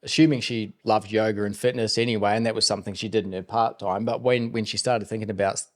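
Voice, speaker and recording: A man's voice: on the low side, fairly even intonation, fast. A man hosting a podcast, asking questions in a chatty, loose way with frequent restarts. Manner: chatty, asks questions in loose rambling bursts. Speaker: podcast host. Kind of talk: casual interview questions.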